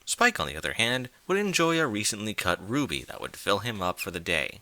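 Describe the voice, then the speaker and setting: A man reads a story aloud as a theatrical narrator, leaning on his words. His voice is strikingly bright and forceful with lively swings in pitch, recorded close and dry. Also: brisk, no echo. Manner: theatrical, emphatic. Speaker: narrator, reader of fan fiction. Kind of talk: dramatic scripted story narration.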